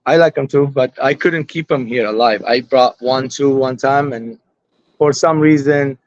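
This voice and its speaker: A man's voice: mid-range voice, moderate pace, dull audio. A man in his forties from Lebanon with an Armenian-Lebanese accent, Armenian as his first language, chatting at length as a guest about his hobby. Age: 40s. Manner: rambling, talkative, chatty. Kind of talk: casual chat.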